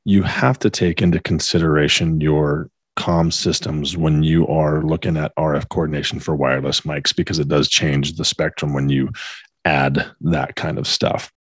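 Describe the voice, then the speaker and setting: A man hosting a podcast interview in a conversational way, with a husky voice. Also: extremely low pitch, level tone, normal speed, no echo.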